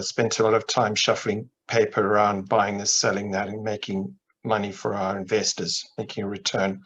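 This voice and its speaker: A middle-aged man from Zimbabwe talks over a webcam call from a home office. His voice sits low and flat, and his delivery is measured, with frequent restarts. A faint hum of room noise sits behind him. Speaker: investment manager guest. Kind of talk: interview answers on a video call. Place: home office with plain wall. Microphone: webcam on video call.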